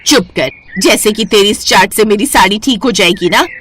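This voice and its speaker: A woman's voice: mid-range voice, moderate pace, fairly bright tone, slight background noise, natural voice. A woman voicing a stubborn, scolding old mother-in-law in a cartoon moral story. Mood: grumpy, scolding. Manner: scolding, emphatic. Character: grumpy, stubborn old mother-in-law, easily won over.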